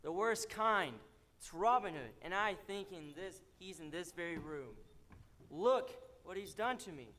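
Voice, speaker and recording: A man as a high-voiced, aggrieved jester in a hall. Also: expressive, measured pace, pushed and bright, exaggerated voice. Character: aggrieved, melodramatic jester who rarely jokes. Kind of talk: stage comedy fairy-tale acting. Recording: echoey stage hall, distant.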